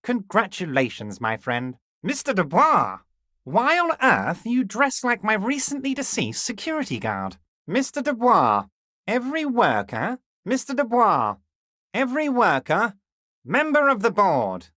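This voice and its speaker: An actor playing a smooth, scheming, jovial middle-aged union boss in a video game, folksy and patronizing. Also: male voice, sits high, wildly swooping pitch, unhurried, pushed and bright, dry recording, studio quality, full character voice. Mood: sly, jovial. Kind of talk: game dialogue voice acting.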